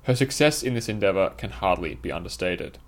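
A man's voice: sits low, expressive, brisk, creaky. A man reading his own scripted essay aloud, in a formal, literary and opinionated manner.

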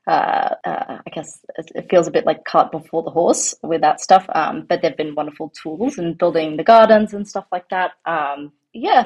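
A woman's voice: on the low side, darkish tone. An Australian woman in her thirties, talking casually as an interview guest, rambling with many ums and restarts. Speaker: guest, home farmer.

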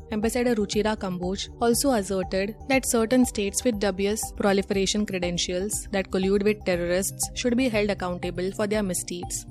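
A woman's voice: mid-range voice, darkish tone. A woman with an Indian accent presenting a scripted news bulletin as a newsreader.

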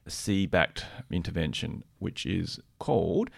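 Man, podcast co-presenter and therapist. Very deep register, measured, explanatory, conversational delivery. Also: very animated, vocal fry. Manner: measured, explanatory, tentative when giving opinions.

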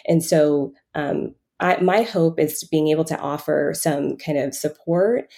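A woman giving reflective answers as an interview guest, speaking in a halting, conversational way as she works out her thoughts. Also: low voice, gravelly, warm tone. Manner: halting, thinking aloud, frequent hedging with "kind of" and "like". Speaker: podcast guest, financial coach.

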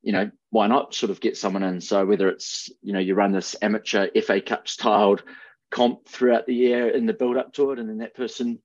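Low-pitched New Zealand man, dull dry mic. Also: level tone, a little fast, darkish tone, no room sound. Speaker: sports podcast panelist. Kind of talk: casual sports chat.

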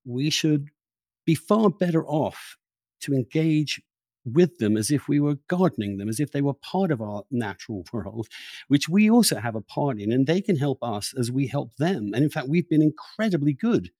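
A man's voice: medium pitch, expressive, moderate pace, dark tone, no echo, studio quality. A man hosting an interview, talking in a conversational style. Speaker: interview host.

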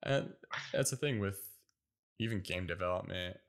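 A man's voice: low voice, moderate pace. A man from the United States hosting a casual interview, asking questions and encouraging his guest in a friendly way. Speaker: interviewer, host.

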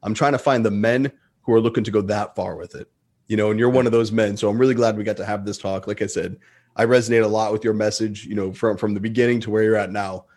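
A man's voice: lowish voice, fairly even intonation, quick pace, darkish tone. A man hosting a podcast in a casual, informal and affirming way. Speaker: podcast host. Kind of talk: casual chat.

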